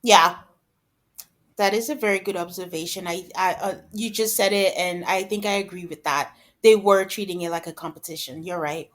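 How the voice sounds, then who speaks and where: A woman's voice: on the low side, moderate pace. A woman co-hosting a podcast, chatting casually about reality TV and acting out imagined quotes as she talks. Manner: conversational, acts out imagined quotes. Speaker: podcast co-host.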